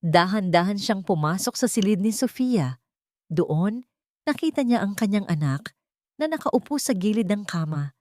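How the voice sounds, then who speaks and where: A woman's voice: medium pitch, lively intonation, moderate pace, darkish tone. A woman with a Filipino accent reading a scripted story in a dramatic narration style.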